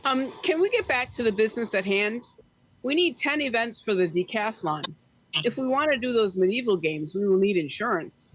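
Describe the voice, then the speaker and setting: A woman's voice: fairly high pitch, lively intonation, moderate pace, no room sound, low-quality recording. A woman playing a businesslike meeting leader in an amateur audio play, orderly and matter-of-fact. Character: businesslike woman chairing an eccentric club meeting.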